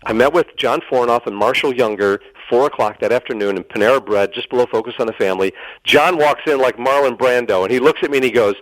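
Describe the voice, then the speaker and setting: A man's voice: medium pitch, quick pace, no room sound, low-quality recording. A middle-aged man telling a personal story as a radio interview guest, earnest and dramatic, acting out the dialogue and repeating phrases for emphasis.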